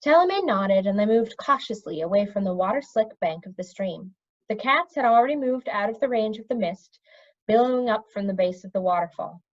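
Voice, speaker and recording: Expressive woman storyteller, lively pitch. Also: brisk, dull audio. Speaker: audiobook reader. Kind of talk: reading a children's fantasy novel aloud with character voices.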